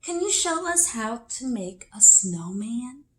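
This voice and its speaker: A woman's voice: a little high, very animated, takes it slowly, pitched above the performer's own voice. A woman voicing eager little woodland animals in a storybook, questioning and exclaiming. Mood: encouraging. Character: eager, encouraging little animal friends of a girl.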